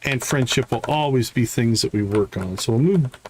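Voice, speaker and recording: A man's voice: medium pitch, moderate pace, slight background noise, poor audio. A man giving casual live gameplay commentary, talking viewers through what he is doing as he plays. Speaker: game streamer, host.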